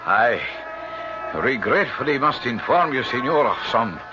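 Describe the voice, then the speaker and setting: Formal Spanish hotel man, lilting pitch. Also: sing-song. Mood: grave, regretful. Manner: courteous, formal, measured phrasing. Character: polite, deferential Spanish-speaking hotel employee, formal and solemn. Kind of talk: old-time radio drama acting. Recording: muffled old radio broadcast, music underneath.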